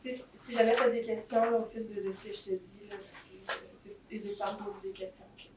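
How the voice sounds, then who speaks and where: A woman playing a gentle Québécois shelter counselor. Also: medium pitch, steady tone, quick pace, close to an everyday voice. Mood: reassuring. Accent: Québécois French. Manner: gentle, reassuring, many fillers. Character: patient female shelter counselor, kind and reassuring. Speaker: shelter intake worker. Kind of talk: role-play demonstration. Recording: roomy, distant mic, noisy.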